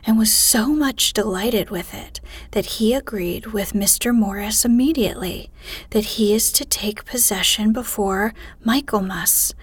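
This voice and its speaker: A woman voicing a fussy, excitable middle-aged mother in a soft, breathy whisper during a bedtime storybook reading. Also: fairly high pitch, no room sound.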